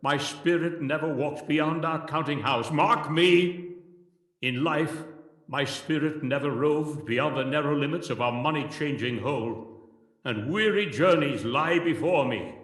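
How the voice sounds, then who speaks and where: An actor playing the tormented, stern ghost of a dead businessman in a radio play, speaking slowly and solemnly in a declamatory way. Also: on the high side, fairly bright tone. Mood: mournful, doomful. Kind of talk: theatrical radio play acting. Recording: echoing long reverb on the voice.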